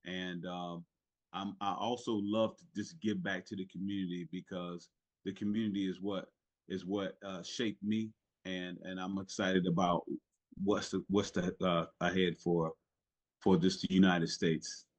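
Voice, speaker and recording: Low-voiced man, earnest and rambling. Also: little pitch movement, a little slow. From South Carolina, raised in Italy. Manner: earnest, lots of fillers and restarts. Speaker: guest, retired Navy chief. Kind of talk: casual podcast interview.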